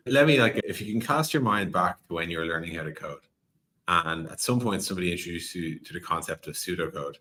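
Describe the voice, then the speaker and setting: A man's voice: sits low, fast, bright, forceful tone. A man speaking as a podcast guest in a conversational tech interview, explaining animatedly with analogies and quoted examples.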